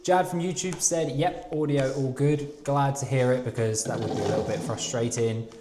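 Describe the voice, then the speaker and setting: A man's voice: medium pitch, steady tone, moderate pace, roomy sound, heavy background noise. Man reading comments on a live draw. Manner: reads viewer comments aloud, reassuring. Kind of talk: casual live-stream chat.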